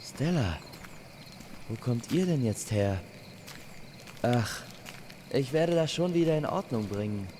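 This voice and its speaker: A man's voice: medium pitch, lively intonation, takes it slowly, long pauses, dark tone, a little hiss or hum, voice pushed up. A man playing a tense, determined male love archer with courtly speech in a fairy-tale audio drama. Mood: flustered, determined.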